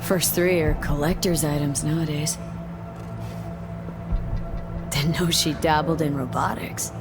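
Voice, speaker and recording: An actress voices a streetwise young woman mercenary for a video game. Her voice sits on the low side, her delivery is clipped, guarded and dryly wry. Music plays quietly behind her. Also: fairly even intonation, normal speed, lightly acted. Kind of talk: naturalistic video game acting.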